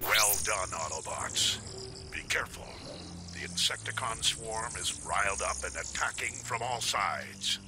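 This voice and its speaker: An actor playing a robot war leader in a video game, speaking with measured, commanding authority. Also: male voice, fairly low pitch, close to an everyday voice. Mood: grave, commanding. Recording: game music behind voice.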